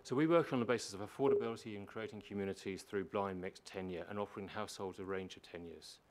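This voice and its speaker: A man with a low voice presenting a prepared submission at a public meeting, explaining and repeating points for emphasis. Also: fast. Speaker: housing organisation manager presenting to a council. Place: council meeting chamber. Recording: meeting room with faint background noise.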